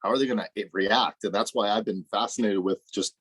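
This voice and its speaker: A man in a casual group discussion, telling animated, jokey stories and laughing often. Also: on the low side, fast talker, slightly rough, no echo.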